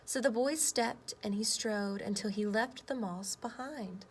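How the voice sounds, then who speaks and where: A woman's voice: mid-range voice, a little slow. A woman speaking as a teacher, reading a storybook aloud and talking to children. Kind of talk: children's storybook read-aloud and lesson talk. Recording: clear background noise.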